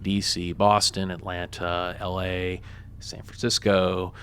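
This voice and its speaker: A man's voice: low-pitched, little pitch movement, slow, a bit bright, smooth. A man who works as a reporter, joking and loose in a radio interview, talking with many fillers. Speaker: guest, reporter for an online publication.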